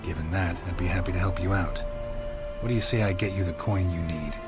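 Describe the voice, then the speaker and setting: An actor playing a gruff, terse monster hunter for hire in a video game, with a gravelly, dry delivery. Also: male voice, deep voice, steady tone, fairly quick, over loud music, natural voice. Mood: dry, businesslike. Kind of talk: video game cutscene acting.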